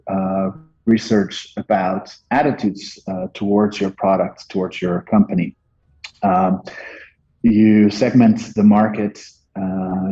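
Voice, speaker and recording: A Dutch-accented man in his forties explains marketing in a slow, careful way, often stopping to restart his sentences. His voice sits low and mellow, a little husky, coming through the muffled, lo-fi sound of a video call from an office. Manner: measured, hesitant, many fillers and restarts. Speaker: marketing executive guest. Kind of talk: business interview, explaining. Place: office room, indoors. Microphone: earbuds on a video call. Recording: dull lo-fi video call audio.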